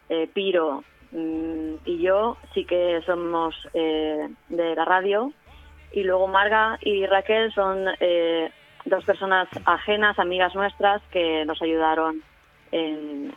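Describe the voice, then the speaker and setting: A woman with an accent from Spain chats in a radio interview, using emphatic everyday phrasing. Her pitch stays fairly flat. The sound is thin and narrow like a phone line, with faint music behind. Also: slow. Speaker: community radio member, interviewee. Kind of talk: casual interview talk.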